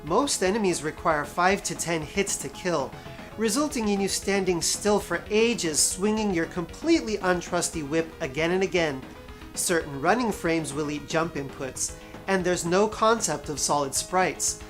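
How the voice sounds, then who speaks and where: A woman's voice: fairly low pitch, expressive, normal speed, soft background music. A woman narrating a scripted video game review as its host, with wry, sarcastic asides and emphatic stresses.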